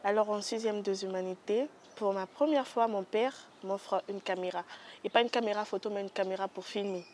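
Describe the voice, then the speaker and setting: Soft-spoken young Congolese French woman. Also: mid-range voice, brisk, slight background noise. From Democratic Republic of the Congo. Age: young adult. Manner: hesitant, many fillers, soft-spoken. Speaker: guest photographer. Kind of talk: interview answers. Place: TV studio.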